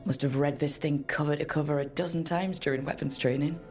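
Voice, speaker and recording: A woman playing a resolute, wry young adventurer in a video game, musing to herself in an unhurried, reflective way. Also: sits low, steady tone, a little mellow, faint music behind, lightly acted. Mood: resolved.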